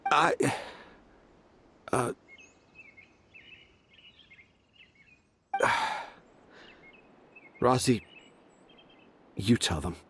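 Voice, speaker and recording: A man's voice: medium pitch, very animated, extremely slow, vocal fry, fairly bright tone, husky, exaggerated voice. An actor playing a stubborn, easily exasperated storyteller father in a video game, with a theatrical, emphatic delivery. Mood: dejected.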